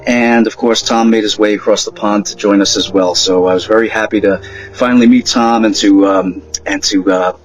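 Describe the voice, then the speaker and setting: A man's voice: lowish voice, steady tone, normal speed, soft background music. A man giving an informal thank-you announcement as an event organizer. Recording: slightly dull lo-fi recording.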